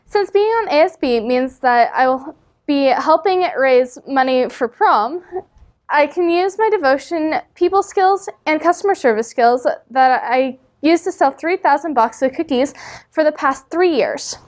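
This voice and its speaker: A high-pitched young voice, that of an American girl in her teens. She gives a prepared speech for a student election. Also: expressive, unhurried. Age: teenager, about 14. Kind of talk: prepared campaign speech to camera. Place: indoor studio, seated.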